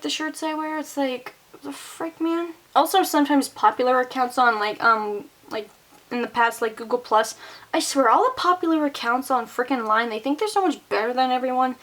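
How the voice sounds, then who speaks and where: High-voiced young woman, chatty and exasperated. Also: a bit bright. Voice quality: high-pitched voice. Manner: chatty, exasperated, fillers of "like" and mild swearing. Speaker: channel host. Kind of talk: casual Q&A chat.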